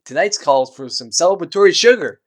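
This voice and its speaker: Man doing a high, bubbly teenage girl. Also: lively intonation, fairly quick, close to an everyday voice. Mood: excited. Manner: eager, bouncy.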